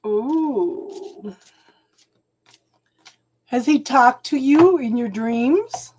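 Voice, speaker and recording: A woman doing a live tarot reading at home, talking slowly and soothingly, often checking in with "okay?", and clearing her throat as she loses her voice. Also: sits high, lively intonation, darkish tone, low-quality recording. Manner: slow, soothing, keeps adding "okay?". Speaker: tarot reader.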